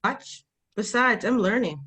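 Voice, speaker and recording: A woman's voice: on the high side, lively intonation, measured pace, bright, forceful tone. A woman playing a cheeky, insistent young girl who is eager to learn, in a drama table read.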